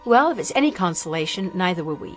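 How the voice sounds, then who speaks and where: A woman's voice: lowish voice, sing-song, fast, faint music behind. A young woman as a restless, sheltered daughter. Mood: earnest.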